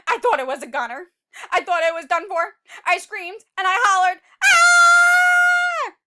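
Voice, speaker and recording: Woman reading as a dramatic little bad seed, high-pitched. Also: animated, a little slow, bright, forceful tone, exaggerated voice. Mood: panicked. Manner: dramatic, confessional storybook delivery. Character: tiny male sunflower seed, gloomy bad boy trying to reform. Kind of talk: children's picture book read-aloud.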